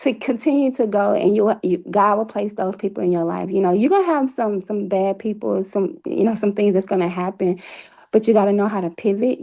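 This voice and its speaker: Woman being interviewed, kinda creaky relaxed voice, reflective and heartfelt, telling her own story. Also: medium pitch. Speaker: interview guest, author and playwright.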